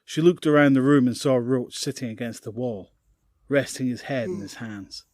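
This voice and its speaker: A man narrating a zombie audio drama in a steady, grave tone. His voice sits at an ordinary pitch, recorded dry and close with no room sound. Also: no echo. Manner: measured, grave storytelling. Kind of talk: scripted narration.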